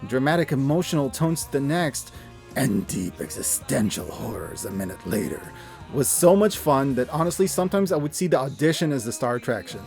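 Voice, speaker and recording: A man in his thirties giving an earnest, expressive, scripted personal narration, with emphatic delivery. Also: medium pitch, fairly quick, creaky. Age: 30s. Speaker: video creator and narrator. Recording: music under the voice. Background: background music.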